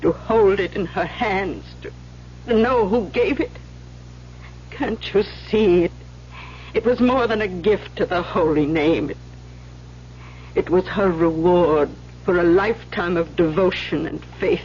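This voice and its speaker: An actress playing a stern older Mother Superior in an old radio drama, scolding in sharp, weighty questions on a muffled, humming recording. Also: fairly low pitch, measured pace, pauses often, slight background noise, natural voice. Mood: reproachful, weary.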